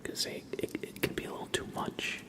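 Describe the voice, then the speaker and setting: A man hosting a relaxed ASMR livestream, chatting casually and softly up close to a sensitive microphone. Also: fairly quick. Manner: relaxed, soft-spoken.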